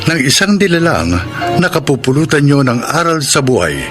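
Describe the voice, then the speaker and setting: A man hosting a radio drama, reading scripted introductions and credits in a dramatic, measured announcer's style. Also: on the high side, sing-song, over music. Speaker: host and announcer.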